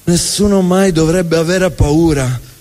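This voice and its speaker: High-voiced man, reverberant stage monologue. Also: mellow, faint music behind. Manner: emphatic, rhetorical, with deliberate repetition. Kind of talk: theatrical spoken monologue to an audience. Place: live stage in a reverberant venue. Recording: reverberant hall, live stage sound.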